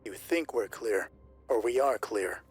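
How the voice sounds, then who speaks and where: A man's voice: mid-range voice, unhurried, slightly rough, soft background music. A man playing a stern military squad captain in a roleplay story, terse and commanding. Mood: measured, probing. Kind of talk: tabletop roleplay character voice.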